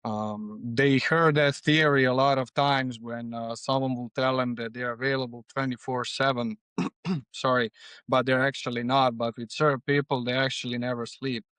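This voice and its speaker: Man, Serbian accent, non-native speaker, clean resonant voice, flat intonation, podcast guest, conversational. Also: medium pitch, moderate pace, clean tone, no echo. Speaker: podcast guest, freight agent. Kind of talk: conversational interview answers.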